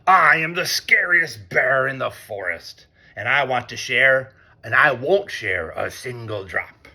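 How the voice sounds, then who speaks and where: A man acts out a big storybook bear, greedy and boastful. He growls and bellows his threats slowly, with a bright, forceful edge and swooping delivery. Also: mid-range voice, lively intonation, slightly rough, full character voice. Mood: possessive. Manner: slow, menacing, boastful. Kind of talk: children's storybook read-aloud.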